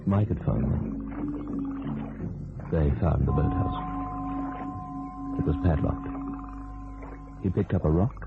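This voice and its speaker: A man narrating a grim crime thriller radio play in terse, deliberate sentences with a very deep, gravelly voice. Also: full character voice. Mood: grim, suspenseful. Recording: tinny band-limited radio recording, music behind.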